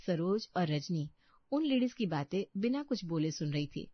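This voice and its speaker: A woman's voice: lowish voice, big pitch swings, moderate pace, husky, no echo, dull audio. A woman reading a scripted story as narrator.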